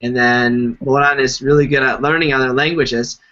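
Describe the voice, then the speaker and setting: A man's voice: mid-range voice, steady tone, normal speed, bright, forceful tone. A man hosting a casual discussion, framing questions hesitantly with many ums. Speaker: host. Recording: dull lo-fi live stream audio.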